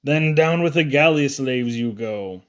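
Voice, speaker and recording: A man's voice: mid-range voice, a little slow, natural voice. Man playing a bossy, commanding pirate captain.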